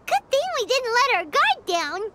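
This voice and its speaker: Tiny chatty fairy sidekick for a game: extremely high, childlike squeak, hugely swooping delivery. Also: female voice, big pitch swings, normal speed, very noisy. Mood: watchful, puzzled. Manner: refers to self in third person, exclaims. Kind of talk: video game voice acting. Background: music.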